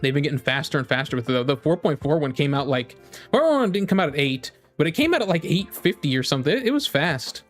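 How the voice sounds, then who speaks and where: A man's voice: fairly high pitch, very animated, fast, soft background music. A man streaming about games in a casual, rambling chat, often repeating his phrases as he talks to viewers. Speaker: game streamer.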